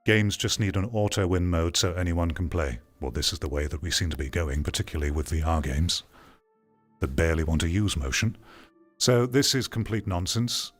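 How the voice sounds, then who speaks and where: Low-pitched man giving blunt commentary. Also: moderate pace, faint music behind. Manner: blunt, dismissive, argumentative. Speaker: video commentator. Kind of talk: opinionated commentary, reading an article aloud and reacting.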